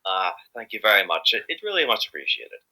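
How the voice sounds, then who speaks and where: Bright-voiced, animated man rambling in an interview. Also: fairly low pitch, quick pace, low-quality recording. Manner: hesitant, rambling, repeating himself. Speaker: amateur voice actor being interviewed. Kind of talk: casual interview chat.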